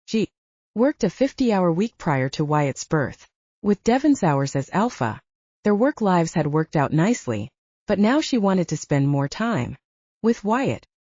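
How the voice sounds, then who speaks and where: A woman's voice: fairly low pitch, big pitch swings, measured pace, warm tone, no echo. A woman reading a scripted fiction audiobook as narrator, on a slightly dull recording.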